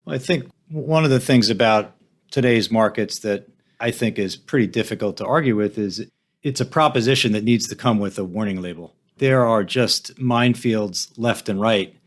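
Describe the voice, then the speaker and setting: A man's voice: on the low side, moderate pace. A man hosting a finance podcast, conversational and discursive as he builds up to his questions. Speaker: podcast host and interviewer.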